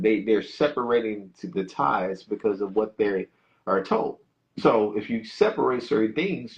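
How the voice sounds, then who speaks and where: A man with a lowish voice makes his points emphatically in casual podcast talk. The audio is a little dull and lo-fi. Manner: conversational, emphatic, backchannels often. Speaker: podcast co-host.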